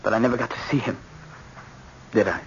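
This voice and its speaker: An actor playing a troubled, remorseful young son in a radio drama, speaking haltingly and questioning himself. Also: male voice, mid-range voice, close to an everyday voice. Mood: remorseful, bewildered. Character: troubled, remorseful son recovering after a breakdown. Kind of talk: 1970s radio drama acting. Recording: muffled vintage radio recording, faint hiss.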